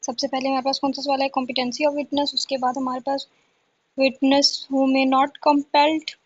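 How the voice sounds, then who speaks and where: A woman's voice: high voice, little pitch movement, a little slow, muffled recording, slight background noise. A woman tutor from Pakistan giving an informal exam-prep lesson, reading through a list repetitively and asking herself questions as she goes. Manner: repetitive, asks herself questions before answering.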